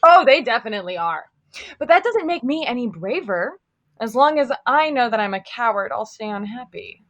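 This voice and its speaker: Cowardly lion, female actor: high, very animated delivery, plaintive and sorry for himself, children's fantasy read. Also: moderate pace. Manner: plaintive, self-pitying. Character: cowardly, melancholy lion lamenting his lack of courage. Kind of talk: live table read of a children's fantasy story.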